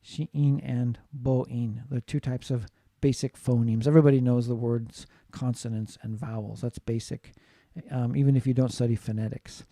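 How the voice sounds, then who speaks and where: A man's voice: medium pitch, little pitch movement, moderate pace, few pauses, mellow, no room sound. A man from the United States giving a classroom lecture on phonetics, explaining steadily and often asking "right?" to check in with students. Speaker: English teacher.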